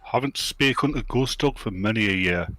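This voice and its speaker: A man's voice: on the low side, animated, normal speed, pushed and bright. A man chatting casually as a panel member on a livestream. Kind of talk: casual chat.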